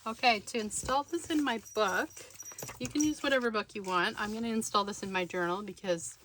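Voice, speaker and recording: A woman's voice: medium pitch, fairly quick, a bit bright, a little hiss or hum. A woman narrating a hands-on craft tutorial casually, thinking aloud as she works. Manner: informal, thinking aloud while working.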